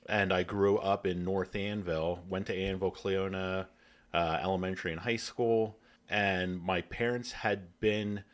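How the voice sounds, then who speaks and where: A middle-aged man from Pennsylvania, a podcast guest talking in a friendly, rambling way with many pauses. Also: sits low, a bit bright. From Pennsylvania, USA. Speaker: guest, hotel owner. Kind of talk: casual interview talk. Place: podcast studio.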